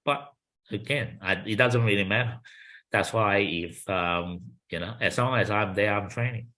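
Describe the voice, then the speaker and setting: A man's voice: fairly low pitch, normal speed, bright, forceful tone. A man with a Chinese accent, a kung fu instructor speaking in an easy, reflective way and often adding "you know". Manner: thoughtful, frequent you-knows, light laughter. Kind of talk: casual conversational interview.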